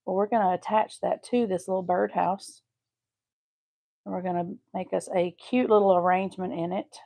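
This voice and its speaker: A woman's voice: lowish voice, steady tone, moderate pace, creaky, dark tone. A woman with a Southeast Texas accent hosting a live craft stream, chatting homely with viewers as she paints. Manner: chatty, homey, talks to viewers while painting. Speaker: craft channel host.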